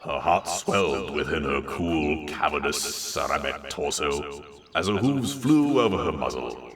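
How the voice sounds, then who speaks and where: A man narrates a comic story with theatrical flair and wry expression. His low voice sweeps up and down, picked up at some distance from the microphone, with a clear crowd murmur behind. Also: animated, a little slow. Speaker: story reader.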